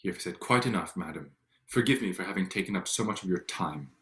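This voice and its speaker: Echoey low voice, guy acting a stiff proud regency gentleman suitor, formal and halting, drama reading. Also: expressive. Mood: subdued, hurt. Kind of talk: staged reading of a period drama. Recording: echoey room over online call.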